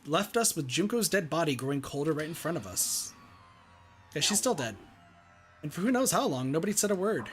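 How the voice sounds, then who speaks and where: A young man reading visual novel narration aloud in a dramatic style. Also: on the high side.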